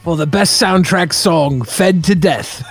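A man's voice: fairly high pitch, slow pace. A man, a voice actor, joking casually on an improv show. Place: home room, video call. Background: music.

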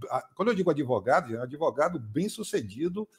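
A man's voice: on the high side, big pitch swings, brisk. A man in his fifties hosting a casual live stream from a home room with bookshelves, teasing his co-host and reading viewer comments aloud. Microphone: headset.

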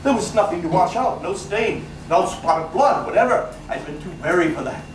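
Casting: nervy, boastful mad killer in gothic monologue; high, swooping, melodramatic delivery, heard in an echoey room. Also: male voice, expressive, far from the mic. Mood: boastful, cunning. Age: older. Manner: melodramatic, emphatic, deliberate phrasing with sudden swells. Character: gleeful mad murderer, male, boastful, cunning, nervy. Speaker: actor giving a dramatic reading. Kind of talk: theatrical live recitation of gothic horror monologue. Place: small brick-walled cafe room, indoors. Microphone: camera a few metres away.

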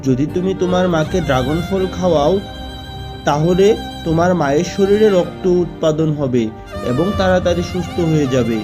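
Man acting a cartoon doctor, slightly high male pitch, earnest, advisory, explanatory, clear background music. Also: normal speed, natural voice. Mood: serious, advising. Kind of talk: Bengali children's cartoon dubbing.

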